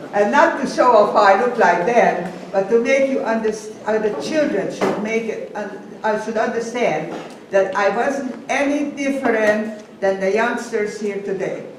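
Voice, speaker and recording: An older woman from Hungary with a Hungarian accent, giving a measured first-person testimony talk to students and pausing to restart phrases. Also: mid-range voice. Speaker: guest speaker. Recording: echoey hall, distant mic, faint hiss.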